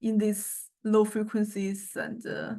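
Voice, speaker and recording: A woman giving an informal software demonstration lecture, hesitantly thinking aloud and searching for words as she explains. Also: medium pitch, little pitch movement, takes it slowly. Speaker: music technology lecturer.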